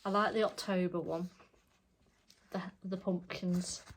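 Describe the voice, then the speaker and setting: A woman's voice: lowish voice, little pitch movement, unhurried, a little hiss or hum. Chatty stuffed-up woman. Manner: chatty, rambling, self-correcting. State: stuffed up, congested. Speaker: cross stitch vlogger. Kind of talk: casual show-and-tell chat.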